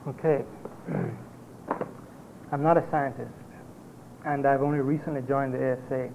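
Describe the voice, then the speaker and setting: A man with a Jamaican accent presenting an academic paper at a conference, in a measured lecturing manner with occasional hesitations. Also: medium pitch, steady tone, a little mellow. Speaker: conference speaker. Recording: tinny phone-like lo-fi recording with faint hiss.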